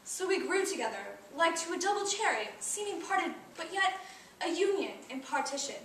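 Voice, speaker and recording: A woman plays a young Shakespearean heroine, indignant and wounded, on stage. Her voice is very high and bright as she declaims formal verse with emphasis. It is heard from some distance in an echoey room. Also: animated, unhurried, a little hiss or hum, heavily acted. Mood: tender, wistful. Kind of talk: classical stage monologue. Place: indoor performance space, recorded from afar.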